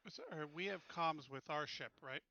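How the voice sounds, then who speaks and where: A man's voice: on the high side, normal speed, pushed and bright, no echo, lo-fi sound. A man playing a tabletop game, talking casually and a little tentatively. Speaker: player in a tabletop roleplaying game.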